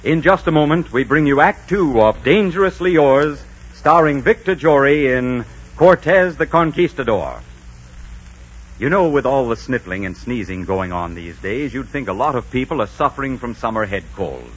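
A man announcing a 1940s radio show and reading a scripted sponsor pitch. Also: lively intonation, normal speed, breathy edge. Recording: dull 1940s radio recording.

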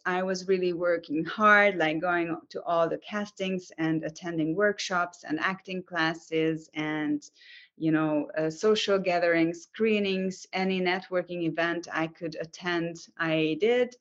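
A woman's voice: fairly low pitch, a bit bright. A woman, an actress and guest on a podcast, telling her story in an earnest, determined way and often restarting her sentences. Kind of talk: interview storytelling. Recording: dull lo-fi audio.

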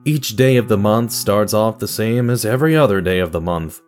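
A man narrating a scripted horror story in a measured, dramatic radio theatre style. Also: lowish voice, a little mellow. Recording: music under the voice. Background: music.

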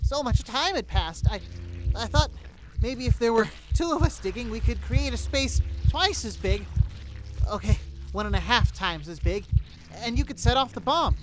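A woman playing a small, devoted animal in an audio drama, sweet and plucky with a matter-of-fact deadpan. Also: on the high side, moderate pace, background noise, close to an everyday voice. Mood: determined, dry.

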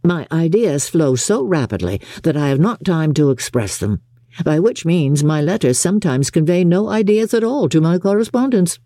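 A jovial young gentleman from an audiobook, acted by a woman. The pitch moves freely in a mellow voice, easygoing, impulsive and good-natured. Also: lively intonation, normal speed, dry recording, clean studio sound. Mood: cheerful protest. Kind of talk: audiobook character acting.

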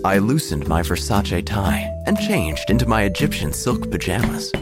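Man acting a deep, pompous obituary writer. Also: sing-song, moderate pace. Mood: droll, grandiose. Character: vain, droll small-town obituary writer. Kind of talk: theatrical scripted audio drama narration. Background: music.

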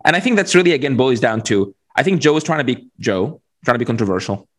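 A man at a medium pitch. He talks casually as an interview guest, explaining his thoughts and often restating phrases as he goes. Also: expressive, fast talker. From Egypt. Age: 40s. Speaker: interview guest, venture capitalist. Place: remote video call.

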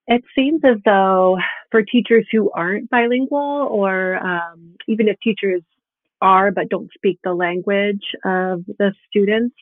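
A woman hosting a discussion, putting thoughtful, prepared questions and comments in a measured conversational way. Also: medium pitch, dry recording. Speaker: interviewer, host. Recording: thin phone-like remote call audio.

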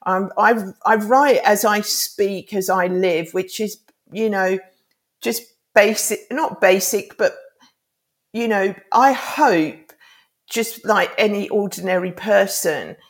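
A middle-aged woman whose first language is Greek speaks as a guest in a relaxed podcast interview. She is earnest and rambling, restarting her thoughts as she goes, at an ordinary pitch. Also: slow. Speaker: author and life coach.

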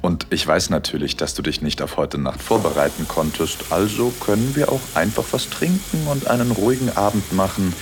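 A devoted husband , acted by a man speaks in an intimate audio drama with a deep, soft, reassuring voice. He is tender and teasing, with gentle authority. Faint music sits behind him. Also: fairly quick, hardly any pauses. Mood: affectionate teasing.

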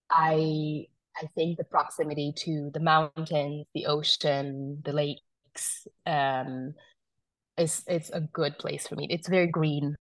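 Guest woman from South Sudan, low voice, chatty storyteller, dry close sound. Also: dry recording, rough recording. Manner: chatty, storytelling, many fillers and restarts. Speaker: wine business founder, guest. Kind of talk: casual conversation.

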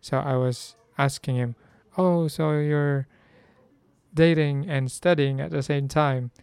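A hoarse young man from the Philippines, whose first language is Filipino, chatting casually about learning Korean and stopping to restart his sentences. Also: fairly high pitch, fairly even intonation, slow, a little mellow, no room sound. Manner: chatty, self-correcting, reads phrases aloud.

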